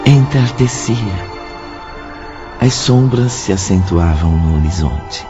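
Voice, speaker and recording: A man's voice: sits low, lively intonation, slow pace. A man with a Brazilian accent as the grave storyteller of a spiritual radio drama, reading scripted narration solemnly. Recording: dull lo-fi audio under prominent music.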